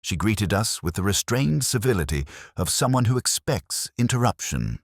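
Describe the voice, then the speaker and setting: A man reading a scripted first-person detective story in a measured, reflective, literary manner. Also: fairly low pitch, very animated, creaky, dry recording, studio quality. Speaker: narrator.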